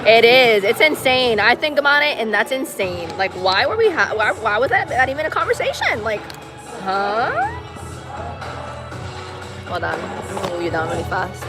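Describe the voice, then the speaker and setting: A young American woman with a light Puerto Rican accent, chatting casually on a livestream in an expressive, slangy, opinionated way. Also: fairly high pitch, normal speed. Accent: Puerto Rican-tinged American. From Massachusetts, USA. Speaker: live streamer. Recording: music playing behind.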